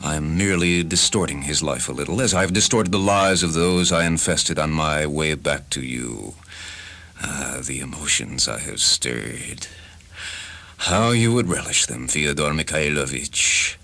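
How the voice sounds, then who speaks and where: A man playing an eloquent, fervent parasitic time traveler from the far future in a radio drama, speaking in a deliberate, grandiose way. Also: a bass voice, animated, bright, forceful tone, heavily acted. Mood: relishing, grandiose. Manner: grandiose, deliberate, relishing suffering. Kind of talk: radio drama acting over music. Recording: voice over a music bed. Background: clear background music.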